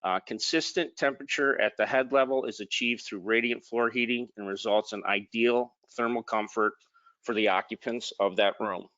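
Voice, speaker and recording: A man's voice: lowish voice, steady tone, normal speed, pushed and bright, dry recording, dull audio. A man presenting a technical slide talk in an informal way, with frequent ums and restarted words. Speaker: product manager presenting.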